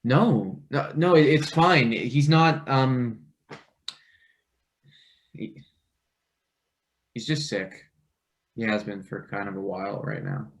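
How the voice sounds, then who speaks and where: A man playing an anxious, evasive young intern in a satirical play reading, speaking haltingly with stammers. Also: mid-range voice. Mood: hesitant, guarded. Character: anxious young male unpaid intern, evasive, easily pressured. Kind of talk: table read of a satirical stage play.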